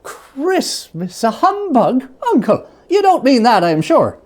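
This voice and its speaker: A reader puts on a cheerful young man, a miser's nephew in a storybook. His voice is pitched high and leaps about, earnest, warm-hearted and pleading. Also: wildly swooping pitch, unhurried. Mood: cheerful protest.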